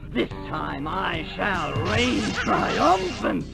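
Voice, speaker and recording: Creaky, high-pitched pompous mad scientist villain. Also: male voice, huge pitch swings, extremely slow, full character voice. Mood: bombastic scorn. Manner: grandiose, theatrical ranting. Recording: loud music behind the voice.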